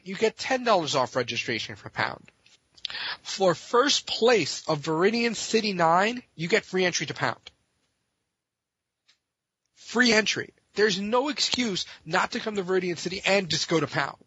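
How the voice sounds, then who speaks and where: Forceful, husky man making his case. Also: high-pitched, animated, measured pace, dry recording, dull audio. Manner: emphatic, blunt, exhorting. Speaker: podcast guest, gaming tournament organizer. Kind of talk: impassioned casual opinion talk.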